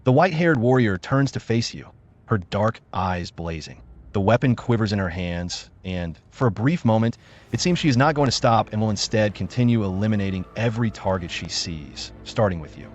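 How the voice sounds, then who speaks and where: A man's voice: lowish voice, expressive, moderate pace. A man reading scripted narration for a story-driven game. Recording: dull, slightly noisy sound.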